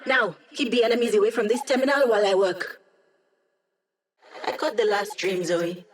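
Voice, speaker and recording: A woman voices a young hacker with a dry, deadpan wit, tossing off sardonic asides to her squad. She talks in an easy, natural voice of middling height, like a game's tech-support character. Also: mid-range voice, moderate pace, lightly acted. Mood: wry. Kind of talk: video game voice lines.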